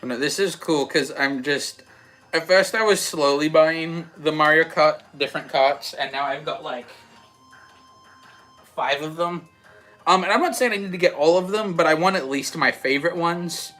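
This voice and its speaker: A young man with an Australian accent softened by American, chatting casually and rambling a little as he hosts a livestream and answers comments. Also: a little high, moderate pace. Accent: Australian with some American. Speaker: livestream host. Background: background music.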